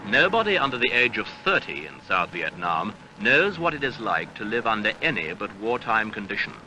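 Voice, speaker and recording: A man reading a scripted documentary newsreel narration in a measured, grave, rhetorical manner, on an archival seventies soundtrack. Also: fairly low pitch, very animated, background noise.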